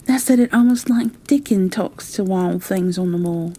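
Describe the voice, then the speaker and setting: A woman's voice: medium pitch, moderate pace, warm tone, lightly acted. A woman voicing a surly, blunt old moorman gardener with a Yorkshire dialect in a storybook reading. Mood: gruffly amused. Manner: gruff, blunt dialect speech.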